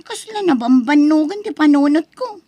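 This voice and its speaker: A woman's voice: sits high, lively intonation, normal speed, mellow, no echo. A woman acting a spoiled, haughty college-age daughter in an Ilocano radio drama, whiny and scornful, with an Ilocano accent. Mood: petulant, indignant.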